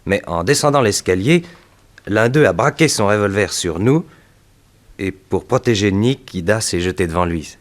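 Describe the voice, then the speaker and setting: An actor playing an earnest, composed detective's assistant, explaining in a measured way in a 1960s French radio serial. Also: male voice, lowish voice, expressive, close to an everyday voice. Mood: composed, explanatory.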